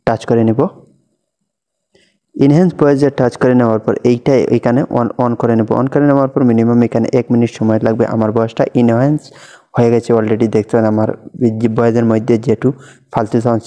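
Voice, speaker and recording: A young man talking quickly through a phone app tutorial, narrating every tap and repeating each step. His voice is mellow and dark, sitting at an ordinary pitch. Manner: steady step-by-step instructions, much repetition. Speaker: tutorial host. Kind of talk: screen-recorded app tutorial.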